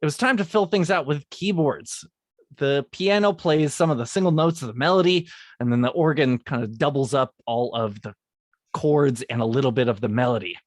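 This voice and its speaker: A man's voice: mid-range voice, moderate pace, dry recording, clean studio sound. A man, a music composer, explaining how he built his theme piece, partly reading and correcting himself as he goes. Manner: restarts and corrects his script lines.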